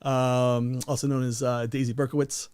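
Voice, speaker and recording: A man's voice: mid-range voice, little pitch movement, moderate pace, darkish tone, smooth, no room sound. A man in his fifties from Colombia, a native Spanish speaker, chatting casually as a guest, laughing and rambling a lot. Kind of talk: casual chat.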